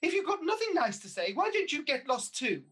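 A woman's voice: high-pitched, very animated, a little fast, a bit bright, slight background noise. A woman playing a vain, haughty pantomime mother with an exclamatory, theatrical delivery, in a radio panto. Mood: haughty, scornful. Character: vain, haughty pantomime dame-mother, newly young and beautiful.

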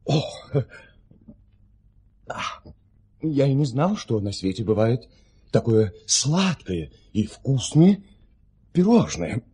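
An eager, courteous count in an audio play. His mid-pitched voice is mellow and breathy, leaping about with great animation. He savours his words and is lavishly polite. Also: very animated, takes it slowly, pauses often, full character voice. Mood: delighted, curious. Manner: effusive, savouring, exaggeratedly polite. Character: eager, credulous, courteous count, sweet-toothed listener.

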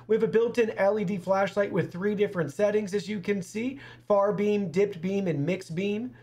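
A man's voice: sits high, normal speed, darkish tone. A young man presenting a product review in an upbeat, presenterly way in a small studio. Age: young adult. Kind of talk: scripted product review to camera.

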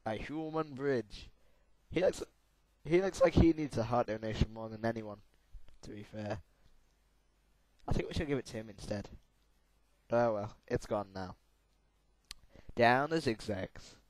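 A hoarse young man with a sore throat, giving excitable let's-play commentary while gaming. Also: mid-range voice, unhurried, pauses often, dry recording, rough recording. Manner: excitable, yelps and laughs at crashes. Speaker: gamer.

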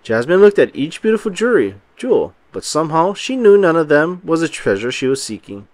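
Animated, high-voiced man reading a storybook. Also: fairly quick, no room sound. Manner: animated, emphatic storytelling for young children. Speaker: children's book read-aloud narrator. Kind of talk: expressive scripted storybook narration.

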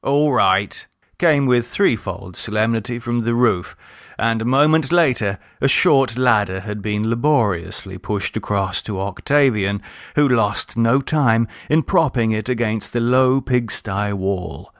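A man narrating a literary short story in a measured, expressive, formal storytelling manner. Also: mid-range voice, no room sound, studio quality. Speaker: audiobook narrator.

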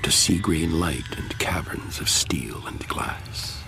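An actor playing a weary, bitter survivor, narrating a bleak radio drama in a brooding, halting way. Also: male voice, extremely low pitch, expressive, whispered, heavily acted.

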